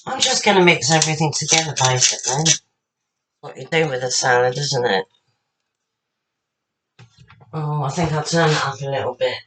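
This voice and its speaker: A woman, slightly husky and tired, chatting casually to herself while making a salad at home. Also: sits low, moderate pace, long pauses, noisy background. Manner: chatty, rambling, talks to herself while working. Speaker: home cook. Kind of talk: casual cooking demonstration.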